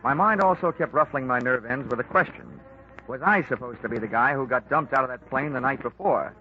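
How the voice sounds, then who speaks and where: An actor playing a dry, wisecracking American investigator who also narrates, in a 1940s radio drama. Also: male voice, medium pitch, a little fast, faint music behind, close to an everyday voice. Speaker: narrator and lead. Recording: noisy lo-fi old radio recording.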